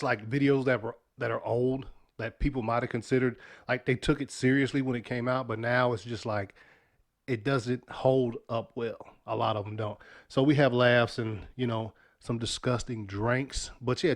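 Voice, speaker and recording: A man's voice: medium pitch, fairly even intonation, normal speed. A young man with a sniffly voice from sinus trouble, hosting a casual weekly news podcast in a loose, slangy way with lots of fillers. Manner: laid-back, loose slang, many fillers and restarts. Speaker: podcast host.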